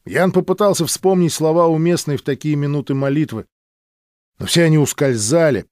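A man's voice: a little high, brisk, a little mellow, no room sound, studio quality. A man reading a novel aloud as a scripted, dramatic audiobook narration.

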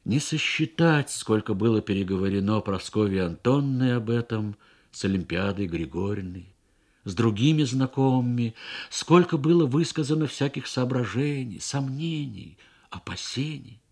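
Husky man reading a literary story. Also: mid-range voice. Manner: measured, expressive, pausing between short phrases. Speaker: reader of a radio short story. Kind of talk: literary narration, Soviet radio story reading.

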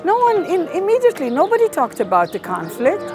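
A woman in her sixties with an Israeli accent, talking to camera on location outdoors in a lively, enthusiastic way. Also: extremely high pitch, brisk. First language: Hebrew. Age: 60s. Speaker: presenter. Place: outdoors in a large city square. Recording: clear background music.

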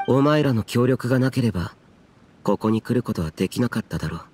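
An actor playing a stern, reserved guardian warrior in a game cutscene, speaking formally in a measured, restrained and archaic way. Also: male voice, mid-range voice, animated, a little mellow, slight background noise, natural voice. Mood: grave, reflective. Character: stern, stoic yaksha guardian, reserved and grave. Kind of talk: dramatic game cutscene dialogue.

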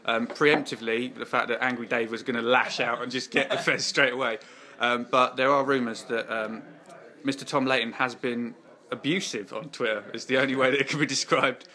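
A young English man hosting a jokey post-match interview for a club channel, halting with many fillers and teasing his guests. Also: medium pitch, fairly even intonation, pushed and bright.

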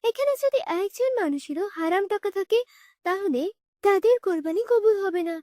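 A woman's voice: extremely high pitch, animated, moderate pace, dark tone, dry recording, clean studio sound. A woman voicing an earnest, knowledgeable girl in a children's cartoon, firmly explaining rules to her friends. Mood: earnest, instructive. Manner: didactic, explanatory.

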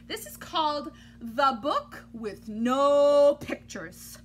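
A woman's voice: high voice, lively intonation, slow. A woman in her thirties reading a picture book aloud to children like a teacher, with exaggerated, theatrical, playfully exasperated delivery. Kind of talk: animated children's story read-aloud. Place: small indoor room at home. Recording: noticeable background noise, home recording.

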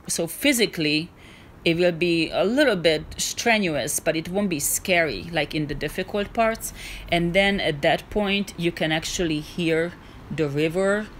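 A woman's voice: on the low side, a bit bright, slight background noise. A woman narrating her hiking vlog in a casual, earnest, encouraging way, with small restarts. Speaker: hiking vlogger.

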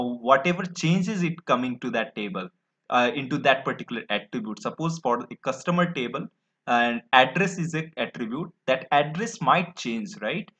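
A man's voice: mid-range voice, a bit bright. A man gives a slide lecture, explaining step by step with many fillers and restarts. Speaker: instructor. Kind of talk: screen-recorded slide lecture. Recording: dull lo-fi audio.

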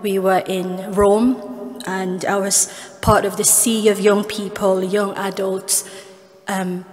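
A woman with a Filipino accent gives an earnest speech from a podium in a large cathedral, her voice trailing off into a long reverb. Her pitch stays fairly level and unvaried, and faint music sits low in the background. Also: level tone, slow, a little mellow. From Philippines. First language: Tagalog. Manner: earnest, with small restarts and fillers. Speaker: guest speaker at an award ceremony. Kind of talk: prepared speech with personal stories. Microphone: podium microphone, far camera.

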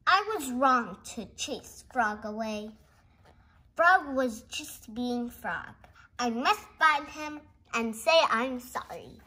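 A young girl hosting a read-along, reading a picture book aloud and reacting chattily in a giggly, excitable way. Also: on the high side, takes it slowly, rough recording. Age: child. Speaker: host of a read-along video. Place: indoors at a dark wooden table. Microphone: camera pointed down at the book.

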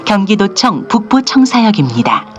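A woman's voice: medium pitch, big pitch swings, normal speed, faint music behind. A woman reading formal, polite train station announcements in Korean, played over a train's speaker. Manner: formal, polite, evenly paced. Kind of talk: recorded transit announcement. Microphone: recorded on a handheld device inside the train. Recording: onboard speaker with chimes.

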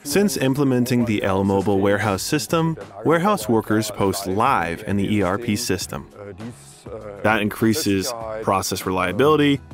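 A man reading scripted corporate testimonial narration in a measured, formal manner. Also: lowish voice. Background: background music.

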